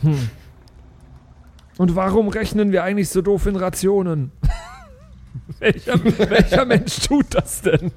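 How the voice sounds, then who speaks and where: A man's voice: sits high, slow, pauses often, darkish tone. A man improvising casually in character as a player in a tabletop role-playing game.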